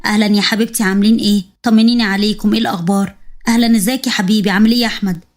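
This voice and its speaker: A woman voicing a meddling, sharp-tongued middle-aged mother-in-law with an Egyptian accent, scolding and sarcastic. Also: mid-range voice, steady tone, very fast, natural voice. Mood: sweet. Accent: Egyptian Arabic.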